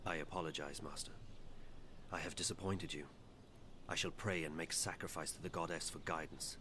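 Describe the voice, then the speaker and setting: An actor playing a devout, disciplined warrior apostle, formal and respectful, in a fantasy game. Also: male voice, sits low, fairly even intonation, moderate pace, background noise, lightly acted. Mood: solemn, earnest. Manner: formal, solemn, deferential.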